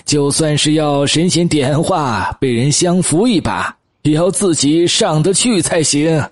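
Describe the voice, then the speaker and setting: Audiobook role for a male actor: genial old man, slow, husky, chuckly. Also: on the high side, no room sound. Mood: amused, admiring. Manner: hesitant, chuckling, unhurried. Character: elderly men, master and servant, genial and chuckling. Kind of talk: acted audiobook dialogue.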